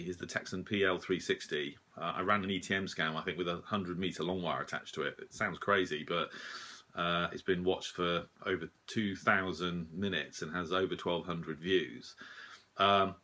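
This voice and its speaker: A man in his forties giving a casual, modest, hesitant update to camera in a small room, sounding slightly rough and husky after a late night. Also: deep voice, bright, forceful tone. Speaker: shortwave radio hobbyist and video host.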